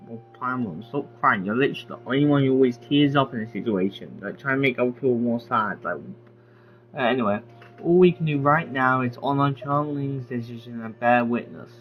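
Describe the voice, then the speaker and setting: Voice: medium pitch, fairly quick. A game streamer giving casual, flippant commentary on a lo-fi mic with music behind. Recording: dull lo-fi mic, faint music.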